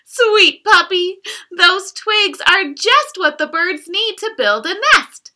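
A woman's voice: very high voice, expressive, takes it slowly, pitched above the performer's own voice, heavily acted. Woman reading as a cheerful little girl. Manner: gentle, sing-song storybook delivery.

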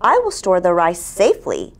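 A woman's voice: on the low side, wildly swooping pitch, moderate pace, gravelly, full character voice. A woman reading the part of a selfish, pompous male king in a storybook, in a declaratory manner. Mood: reassuring.

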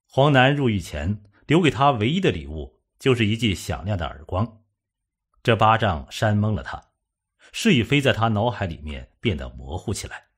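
A man narrating a suspense novel as an audiobook, in a measured, dramatic storytelling manner. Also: on the low side, big pitch swings, slightly rough.

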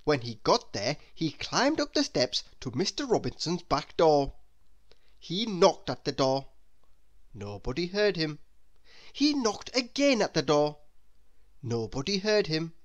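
A man reading a children's story aloud as narrator, in an expressive, deliberate way. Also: a little high. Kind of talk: scripted narration for young children.

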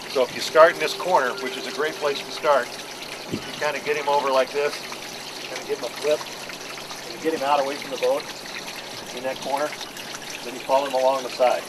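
A middle-aged man, a fishing boat captain, giving a practical, plain-spoken briefing to a group on the deck of a docked boat. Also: medium pitch. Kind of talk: instructional briefing to a charter group. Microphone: camera mic from a few feet away. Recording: outdoor camera audio with clear background noise.

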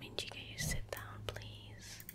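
A young woman gaming streamer in soft-spoken ASMR style, murmuring to herself in a breathy whisper at a close microphone. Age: young adult. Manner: unhurried, murmuring to herself as she plays. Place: indoor room at a gaming setup. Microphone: close desk microphone.

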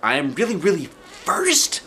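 Man voicing an excitable squealing little girl. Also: high voice, normal speed, a little hiss or hum, pitched above the performer's own voice, full character voice. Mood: thrilled. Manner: childlike, squealing, breathless enthusiasm. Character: excitable little kindergarten girl, gushing and blunt.

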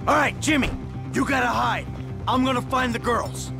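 A man's voice: very high voice, moderate pace, creaky, over music. An actor playing a hot-tempered, protective middle-aged criminal father in a video game, with an American accent and urgent, profane delivery. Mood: urgent, defensive. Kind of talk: video game dramatic acting.

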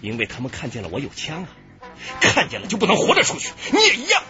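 Intense, high-voiced man in crime drama. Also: wildly swooping pitch, quick pace. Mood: menacing, defiant. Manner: theatrical, emphatic. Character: agitated, intense man in a police thriller. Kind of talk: radio drama acting. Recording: muffled radio drama with music underneath.

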